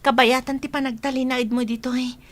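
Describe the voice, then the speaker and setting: A woman's voice: high voice, little pitch movement, brisk, natural voice. A woman with an Ilocano accent playing a sociable, welcoming young trainee in a radio drama, friendly and reassuring.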